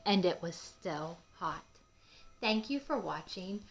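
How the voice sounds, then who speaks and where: A woman's voice: on the low side, takes it slowly, creaky, soft background music. A woman hosting a children's read-aloud, telling a picture book story expressively and addressing young listeners in simple terms. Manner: asks young listeners questions, explains simply; emphatic, expressive reading.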